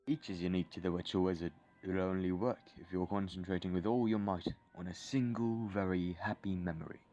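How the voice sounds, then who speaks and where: A man's voice: deep voice, steady tone, brisk, warm tone, lo-fi sound, natural voice. A man playing a patient, grave wizard teacher in a fan audio drama. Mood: grave, instructive.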